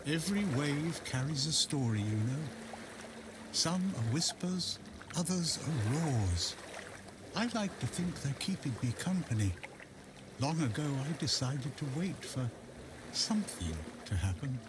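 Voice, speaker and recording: Fantasy RPG hermit: old, unhurried, musing and wistful, lively melody, audible water ambience. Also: male voice, fairly high pitch, noisy background. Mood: brooding, patient. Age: older. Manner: slow, musing, philosophical. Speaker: non-player character in a fantasy RPG. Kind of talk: fantasy video game dialogue.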